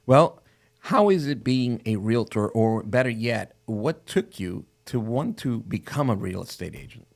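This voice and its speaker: Gravelly-voiced man hosting a casual interview. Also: mid-range voice, expressive, unhurried. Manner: joking, conversational. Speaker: host of a real estate show.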